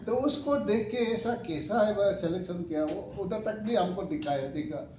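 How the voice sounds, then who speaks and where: A man in his seventies, chairing a meeting and addressing the committee in a halting way, pausing and correcting himself. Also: high voice. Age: 70s. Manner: halting and unhurried, with pauses and self-corrections. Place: hotel meeting hall. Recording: echoey hall, mic far off, faint room noise.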